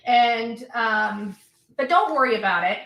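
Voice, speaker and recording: A woman giving an unscripted monologue in halting, deliberate, broken phrases, recorded in an echoey room away from the microphone. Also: fairly high pitch, animated, gravelly, fairly bright tone. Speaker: online livestreamer. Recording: echoey room, distant mic.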